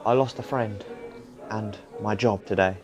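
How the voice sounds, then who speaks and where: Guy playing down on his luck boozy working man, radio play, low voice, bit of music under. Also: steady tone, a little slow, lightly acted. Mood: downcast. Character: downcast, drunken working man at a pub. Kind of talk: comic radio play acting.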